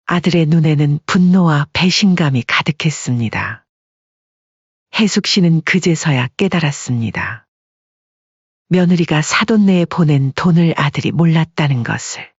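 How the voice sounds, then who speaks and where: A woman narrates a scripted family drama as an audiobook. Her voice is husky and mid-pitched. The recording is dry and slightly muffled. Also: animated, moderate pace, no room sound. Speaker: story narrator. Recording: dull lo-fi audio.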